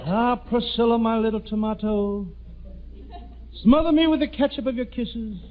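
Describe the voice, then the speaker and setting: High-voiced, clownish radio wisecracker. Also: male voice, fairly even intonation, moderate pace. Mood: mock romantic. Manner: clownish, mock-romantic wisecracking. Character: cheeky, wisecracking, bumbling little colonial suitor. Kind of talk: 1940s radio comedy acting. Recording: tinny, very noisy old radio recording.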